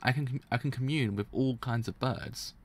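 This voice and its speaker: A man's voice: medium pitch, normal speed, close to an everyday voice. A man voicing an anxious, earnest female chicken fighter who commands birds, pleading with urgency in a story reading.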